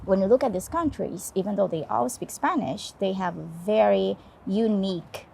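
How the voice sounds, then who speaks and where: A woman's voice: mid-range voice, normal speed, gravelly, mellow, a little hiss or hum. A woman with a Brazilian Portuguese accent, a guest speaking English as her second language, talking casually and often adding "right?". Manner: fillers, frequent tag "right?". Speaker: HR business partner, guest. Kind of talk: casual interview talk.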